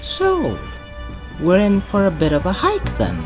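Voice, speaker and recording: Woman acting sly female renegade, theatrical, mocking, wildly swooping pitch, unhurried drawl, sci-fi audio drama. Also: huge pitch swings, over music. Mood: dry scepticism. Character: arch, sardonic female renegade time-traveller, theatrical and sly.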